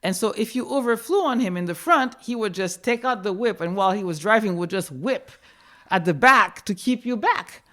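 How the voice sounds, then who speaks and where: A woman's voice: medium pitch, expressive, moderate pace, fairly bright tone. A woman in her fifties, born in New York and raised in Haiti, telling her own life story in a reflective, candid way. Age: 50s. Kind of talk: personal storytelling.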